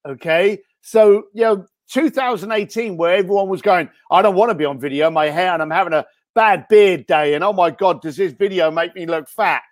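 A man's voice: sits high, fairly quick, few pauses, dry recording, studio quality. A man speaking as a guest on a podcast interview, giving blunt, punchy advice. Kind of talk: interview chat.

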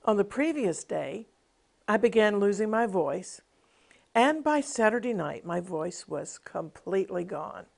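A woman's voice: mid-range voice, animated, unhurried, gravelly, darkish tone. A middle-aged woman television minister giving a devotional teaching with a personal testimony, in an earnest, emphatic preaching cadence.

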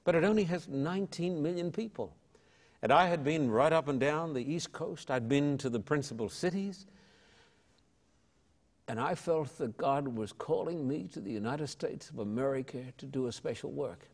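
A man's voice: fairly high pitch, moderate pace, a little mellow, long reverb tail. Emphatic older Australian preacher man. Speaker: evangelist guest. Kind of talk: conversational interview storytelling.

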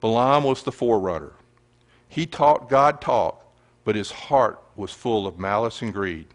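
A man's voice: fairly low pitch, a little slow. Man teaching a sermon. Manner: earnest teaching, reads scripture aloud. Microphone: handheld or stand mic.

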